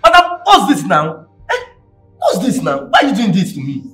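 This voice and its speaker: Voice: mid-range voice, wildly swooping pitch, normal speed, fairly bright tone, slightly rough, faint music behind, exaggerated voice. An actor with a Nigerian accent playing an impatient, merciless rent collector in a film drama, scolding and repeating threats in exasperation. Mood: exasperated.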